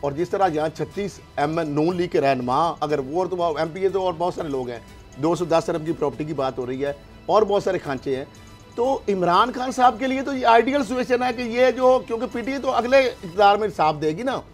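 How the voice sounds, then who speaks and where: A man's voice: high voice, animated, fairly quick, soft background music. A man with a Pakistani accent in a political discussion, speaking emphatically and argumentatively with rhetorical questions. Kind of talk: heated political discussion, interjecting.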